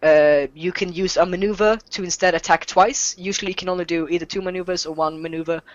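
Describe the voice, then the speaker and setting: A native Swedish speaker running a tabletop game as game master, explaining rules off the cuff in a halting, self-deprecating, jokey way. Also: medium pitch, steady tone, lo-fi sound.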